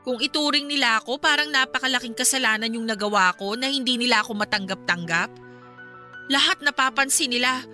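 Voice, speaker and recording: A woman's voice: on the high side, fairly quick, pushed and bright, faint music behind. A woman playing a hurt, proud young wife and mother in a Filipino radio drama, emotional and pleading with indignation. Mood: hurt, reproachful.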